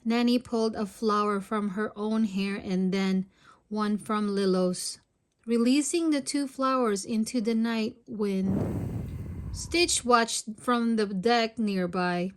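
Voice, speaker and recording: A woman narrating a children's storybook aloud in an expressive read-aloud style. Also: mid-range voice, slow pace, slight background noise.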